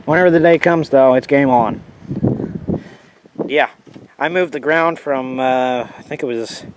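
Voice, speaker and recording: A man's voice: medium pitch, a little slow. A man showing an old tractor and rambling through his restoration plans, a little sniffly, with restarts and asides as he works outdoors. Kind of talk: casual walkaround vlog. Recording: noisy outdoor handheld recording.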